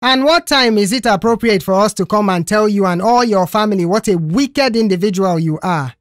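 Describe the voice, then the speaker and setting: A woman voicing a shrill, combative, aggrieved mother in an audio story. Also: a little fast, dry recording, clean studio sound, close to an everyday voice. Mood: accusing.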